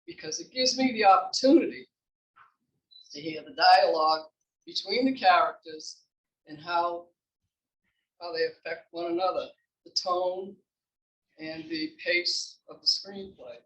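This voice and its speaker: A woman's voice: lowish voice, measured pace, long pauses. A woman playwright giving an informal introductory speech about her work. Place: library room before an audience. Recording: echoey room, distant mic.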